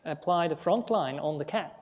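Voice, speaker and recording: A woman with a German accent, a Buddhist monastic co-teaching a workshop, explaining in a halting way with frequent "um" and restarts. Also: low voice, a little mellow. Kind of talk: workshop discussion. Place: Buddhist centre hall.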